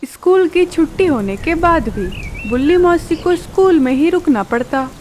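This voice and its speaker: Female storyteller, high voice, scripted children's narration, faint ambience with birdsong. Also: lively intonation, a little slow, a little mellow, a little hiss or hum.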